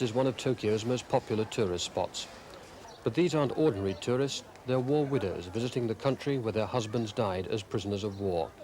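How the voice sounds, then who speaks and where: Low-voiced male correspondent, street noise behind. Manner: calm, descriptive narration. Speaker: news correspondent. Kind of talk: scripted news report.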